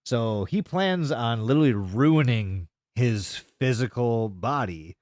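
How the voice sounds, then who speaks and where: A man's voice: medium pitch, lively intonation, slow, darkish tone, no echo, studio quality. A man co-hosting a podcast, casually retelling a story in a rambling, sweary way. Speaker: podcast co-host.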